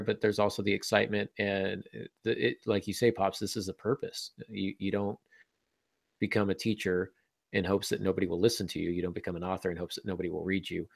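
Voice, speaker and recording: A man's voice: low voice, creaky, dry recording, clean studio sound. A man hosting a casual podcast discussion as a writer, talking in a wry, joking way. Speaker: podcast host and author.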